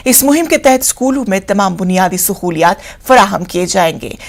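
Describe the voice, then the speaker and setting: A woman anchors a news bulletin, reading from a script. Her voice sits at an ordinary pitch for a woman and her delivery is formal and orderly.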